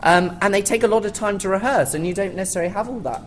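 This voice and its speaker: A man's voice: high voice, rapid-fire. Guy chatting on a theatre panel, knows his stuff, slight room noise. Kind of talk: informal expert panel talk. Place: theatre, live panel discussion.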